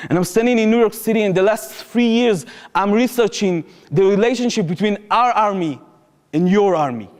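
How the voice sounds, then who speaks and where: Higher-voiced Israeli man in echoing hall. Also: a little mellow. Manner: earnest, impassioned, urgent appeals to the audience. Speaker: activist speaker. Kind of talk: public talk, personal storytelling. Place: lecture hall.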